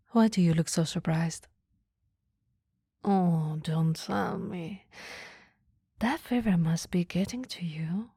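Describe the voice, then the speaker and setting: A woman playing a caring, flirty, easily flustered boss in a soft, intimate ASMR roleplay, often whispering, gently teasing and a little hesitant. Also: lowish voice, dry recording, studio quality, full character voice. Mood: concerned, soothing. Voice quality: breathy, soft. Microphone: close microphone, dry.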